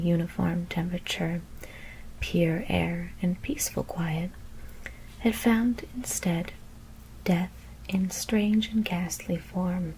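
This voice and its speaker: A woman whispering a scary story in ASMR style, reading in a breathy, deliberate, literary manner. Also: fairly low pitch, warm tone, poor audio. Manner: measured, deliberate literary narration.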